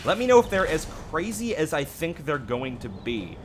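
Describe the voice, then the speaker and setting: A man's voice: on the high side, sing-song, moderate pace, background noise. A man hosting a gaming channel, giving casual update commentary in an opinionated, emphatic, conversational way.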